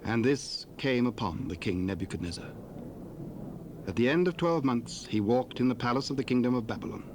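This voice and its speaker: A man narrating a dramatized scripture reading in a measured, solemn way. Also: medium pitch, animated. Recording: cassette tape hiss.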